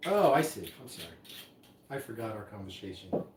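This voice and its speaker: A man's voice: on the low side, sing-song, brisk, distant mic, slight background noise. Chatty man in an echoey room. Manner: rambling, chatty, many restarts. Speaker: livestream host mixing drinks. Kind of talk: casual live chat.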